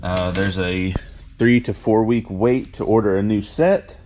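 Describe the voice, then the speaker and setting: A man's voice: fairly low pitch, a little slow, a little mellow, rough recording. A laid-back, plain-spoken man talking casually as he shows truck parts for a workshop video update. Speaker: car builder hosting a shop update. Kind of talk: casual vlog talk while showing truck parts. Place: indoor vehicle workshop garage.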